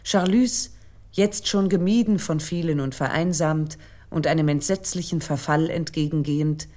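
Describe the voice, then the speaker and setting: A woman reading scripted essayistic commentary in a literary radio production, measured, articulate and serious. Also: low-pitched, warm tone.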